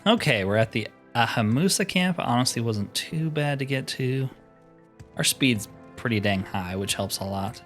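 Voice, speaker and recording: A man's voice: mid-range voice, lively intonation, moderate pace, a bit bright, faint music behind. A man giving casual, informal commentary on a game playthrough, musing aloud to viewers with mild exclamations. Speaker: gaming channel host.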